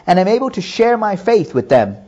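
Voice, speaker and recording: A middle-aged man calmly telling his personal faith testimony in an earnest, measured way. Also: high voice, lo-fi sound. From Hong Kong and Japan. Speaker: businessman giving testimony.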